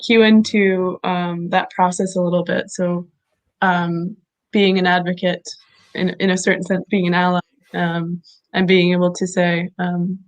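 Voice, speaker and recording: Female host, lowish flat delivery, hesitant questions full of pauses. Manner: hesitant, many "um"s and restarts. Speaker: interview host, parent project lead. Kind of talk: conversational interview.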